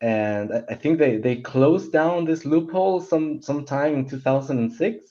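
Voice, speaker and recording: A man's voice: a little high, normal speed, mellow. Guy on a film chat panel, casual talk, kinda dull lo-fi audio.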